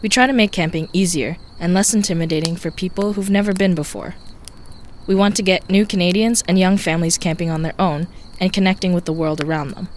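A woman's voice: on the low side, fairly even intonation, brisk. Upbeat female podcast host, scripted intro, faint background hum. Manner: upbeat, read-out delivery.

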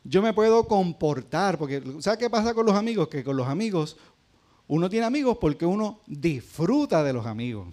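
A middle-aged Puerto Rican pastor preaches in a high, light voice. He keeps it conversational and keeps putting questions to his congregation. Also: very animated, moderate pace, a little mellow. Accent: Puerto Rican Spanish. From Puerto Rico. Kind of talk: sermon.

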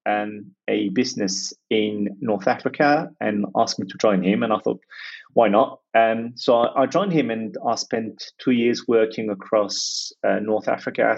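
Man, gravelly, husky, hesitant, lo-fi sound. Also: on the low side. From France. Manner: hesitant, many restarts and fillers. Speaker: podcast guest. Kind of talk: reflective conversational interview.

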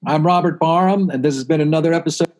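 Chatty host, slightly high voice. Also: male voice, fast talker, a little mellow. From Washington DC area, USA. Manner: friendly, chatty, many "uh" fillers. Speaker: podcast host. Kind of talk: casual interview chat.

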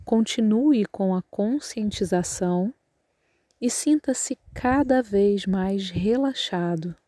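Slow, mellow Brazilian woman guiding relaxation. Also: mid-range voice, no room sound. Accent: Brazilian Portuguese. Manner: calm, measured, instructing. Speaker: yoga nidra guide. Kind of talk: guided relaxation meditation.

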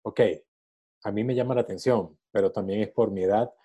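Un hombre de unos sesenta años, productor de cine invitado a un podcast, que piensa en voz alta con muchas muletillas. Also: male voice, fairly low pitch, steady tone, brisk, dark tone, breathy edge. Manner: thinking aloud, many fillers. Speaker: film producer guest. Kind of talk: casual podcast interview.

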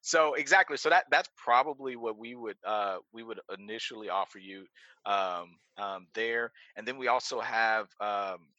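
A man's voice: fairly low pitch, normal speed, bright, forceful tone, no echo, muffled recording. A man, a brewery co-founder talking casually in an interview with many restarts. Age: 30s. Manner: rambling, many restarts, laughs easily.

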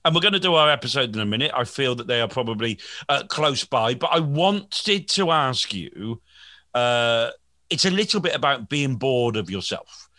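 A man's voice: medium pitch, animated, normal speed, bright, forceful tone, no echo, clean studio sound. A man in his forties, a comedian hosting a podcast, chatting in a rambling, self-deprecating way with frequent restarts. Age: 40s. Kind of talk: casual podcast chat.

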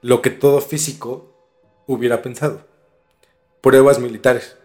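A man's voice: mid-range voice, little pitch movement, measured pace, darkish tone. A man with a Mexican accent telling a story in a casual, slangy podcast chat as host. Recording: music bed behind the talk. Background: music.

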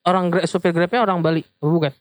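Halting, questioning young man. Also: sits high, fairly even intonation, no echo. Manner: halting, repeats words, asks questions. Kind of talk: casual chat.

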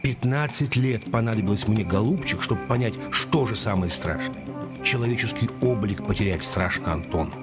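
A man playing a weary, wise older judge in a radio drama, speaking in a measured, paternal, admonishing way. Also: lowish voice, over music, natural voice. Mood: sorrowful, earnest. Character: weary, wise older male judge, secretly an Earth historian.

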